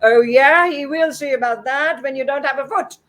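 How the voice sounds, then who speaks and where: A woman's voice: medium pitch, steady tone, slow pace, natural voice. A woman voicing a big, angry, boastful male samurai in a told story. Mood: furious. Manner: blustering, threatening, boastful. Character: big beefy angry samurai, a bullying braggart.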